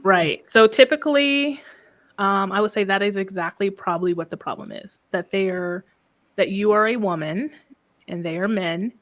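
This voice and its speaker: A soft-spoken woman business coach talking calmly in a casual interview, often ending thoughts with a questioning "right?". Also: medium pitch, moderate pace. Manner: calm, reflective, frequent "right?" tags.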